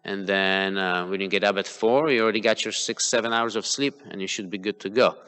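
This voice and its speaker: A man whose first language is Polish gives a devotional talk in a temple hall, full of pauses, fillers and restarts. His voice sits a little low but sounds bright and crisply clear. A long hall reverb trails behind every phrase. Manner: hesitant, many fillers and restarts. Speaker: temple class speaker. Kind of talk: devotional class talk, personal examples.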